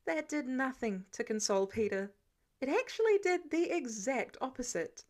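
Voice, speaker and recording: A woman narrating fan fiction in an expressive storytelling style. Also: sits high, normal speed.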